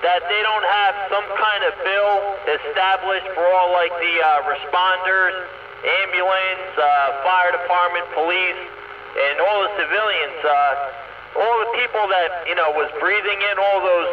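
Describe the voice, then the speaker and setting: A distant man comes through a CB radio with clear static and noise. His voice is high for a man. He chats casually and bluntly, swearing as he goes. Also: measured pace. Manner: blunt, profane. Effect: CB radio transmission. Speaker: CB radio operator. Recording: muffled, very noisy CB radio, distant.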